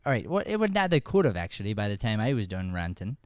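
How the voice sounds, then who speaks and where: A man's voice: fairly low pitch, big pitch swings, quick pace, darkish tone, clean tone, no echo. A man with a put-on Irish accent, hosting a live improv show with casual banter to the audience on a close microphone. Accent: put-on stage Irish. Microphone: close podcast microphone.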